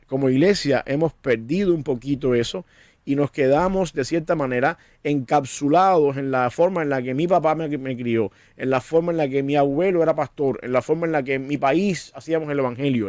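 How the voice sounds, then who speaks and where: Earnest Cuban-born pastor, slightly high voice. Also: male voice, a little mellow, dry recording. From Cuba. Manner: earnest, preacherly, repeats words when starting. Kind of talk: conversational discussion.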